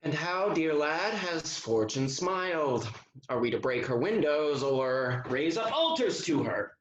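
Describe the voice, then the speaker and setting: An actor playing a wry, sardonic young English gentleman soldier in a Restoration comedy, declaiming with dry mockery. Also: fairly high pitch, lively intonation, a little slow, reverberant, muffled recording, slight background noise. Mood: mocking. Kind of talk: Restoration comedy stage acting.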